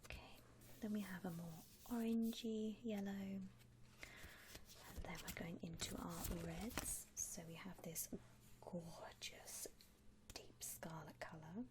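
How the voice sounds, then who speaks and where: A woman playing a gentle vampire coffin saleswoman in a whispered ASMR roleplay, unhurried, with hesitant pauses and soft hums. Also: on the low side, steady tone, noisy background. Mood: soft, confiding. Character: softly whispering female vampire coffin saleswoman, attentive and discreet. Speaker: ASMR performer playing a shop consultant.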